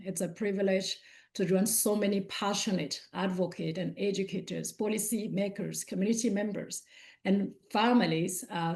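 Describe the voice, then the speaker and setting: A woman's voice: on the low side, creaky, darkish tone. A woman from Cameroon whose first language is French, giving an earnest talk as a guest speaker at a conference, with frequent "uh" fillers.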